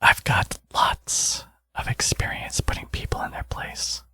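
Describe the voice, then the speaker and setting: A man playing a smug, flirtatious villain in an intimate ASMR audio roleplay, teasing and coaxing, with a gravelly, husky voice. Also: unhurried, bright, forceful tone, dry recording. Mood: whispered menace.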